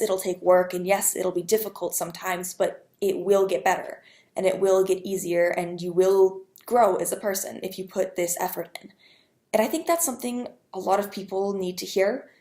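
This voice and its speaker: A woman's voice: mid-range voice, darkish tone. A woman giving an earnest personal testimonial as a fan. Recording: echoey room.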